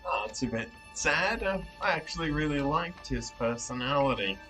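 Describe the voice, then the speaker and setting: A man's voice: mid-range voice, expressive, moderate pace, sharp tone, soft background music. A young man giving a casual episode review as a reaction host, thinking aloud with some hesitation. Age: young adult. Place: small living room, indoors.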